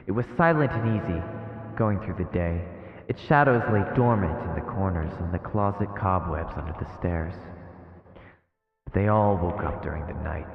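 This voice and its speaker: A man streaming a horror visual novel, reading it aloud as dramatic, ominous narration. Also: deep voice. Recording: echoey reverberant muffled sound with music. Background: game music.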